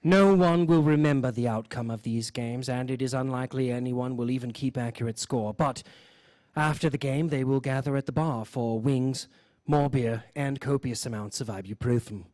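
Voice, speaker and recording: A man hosting a radio show, reading mock nature-documentary narration in a deadpan, solemn way. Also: mid-range voice, normal speed, no echo. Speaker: host of a live variety radio show.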